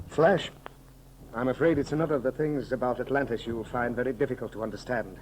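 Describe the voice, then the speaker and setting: An earnest, loyal commander played by a man from an old radio adventure. His voice is mid-pitched with formal, grave diction, over a faintly noisy 1930s recording. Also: fast talker, a little mellow, natural voice. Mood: grave, uneasy. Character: earnest, loyal Atlantean commander, the hero's companion. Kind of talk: 1930s radio serial acting.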